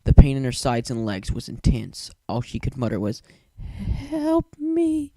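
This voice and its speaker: A man reads a story aloud as narrator. His voice is mellow and of middle pitch, with a dramatic scripted delivery and a dry, close sound. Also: brisk, no echo. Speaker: narrator, reader of fan fiction.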